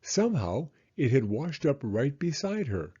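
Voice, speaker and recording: A man narrating a children's story as an expressive read-aloud. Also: mid-range voice, a little slow, breathy edge. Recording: slightly dull, muffled audio.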